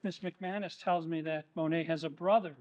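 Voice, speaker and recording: A man playing a courteous, formal high school assistant principal in a stage play, a little hesitant. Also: fairly even intonation, moderate pace, darkish tone, dull audio, close to an everyday voice.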